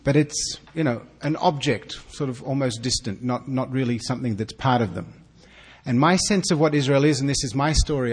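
A man with an Australian accent giving an earnest, halting welcome speech to an evening audience, often restarting his words. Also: medium pitch, breathy edge. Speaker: consul general giving opening remarks.

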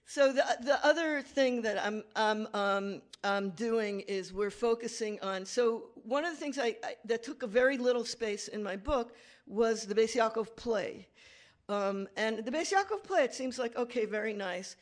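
A woman's voice: a little high, moderate pace. A woman lecturer giving a conversational academic talk with slides, correcting herself and making asides to the audience.

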